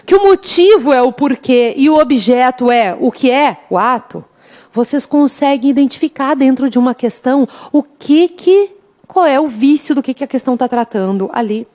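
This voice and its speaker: A woman from the interior of Rio Grande do Sul with a southern Brazilian accent, teaching an online law exam prep class in a chatty, teasing way. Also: high voice. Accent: interior Rio Grande do Sul Brazilian Portuguese. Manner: chatty, teasing, addresses students warmly as "gente". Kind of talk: lively online class, singing mnemonic samba.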